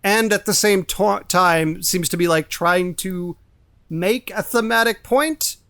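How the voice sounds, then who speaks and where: A man's voice: sits high, slow, pushed and bright, dry recording, studio quality. A man co-hosting a podcast, discussing films casually and informally, with some swearing. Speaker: podcast co-host.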